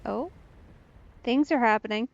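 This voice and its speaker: A woman's voice: fairly high pitch, unhurried, creaky. A woman giving casual let's play commentary, musing to herself in loose asides as she plays. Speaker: streamer, let's play host. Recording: slightly dull, dry close recording.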